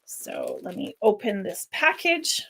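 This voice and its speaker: A woman hosting her own channel, giving a casual unboxing review. She thinks aloud, often restarting sentences, and her voice is a little squeaky today. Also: wildly swooping pitch, slow pace, gravelly, background noise. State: slightly squeaky voice. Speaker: worship flag maker and channel host.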